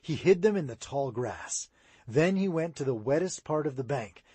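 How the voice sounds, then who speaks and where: A man narrating a children's audiobook, his voice rising and falling with the story. The tone is a little husky and sits slightly high for a man, and the sound is close and dry. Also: moderate pace, no echo. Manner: expressive read-aloud, rising and falling. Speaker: audiobook reader.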